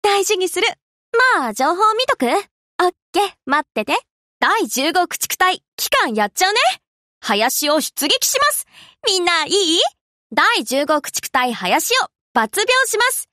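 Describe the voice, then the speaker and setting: Anime game navy girl: high and slow-paced, casual slangy delivery, laid-back but dutiful. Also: very animated, bright, forceful tone, dry recording, studio quality, exaggerated voice. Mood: surprised, touched, then breezy. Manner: casual slangy girlish speech. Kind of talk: Japanese anime game character voice lines.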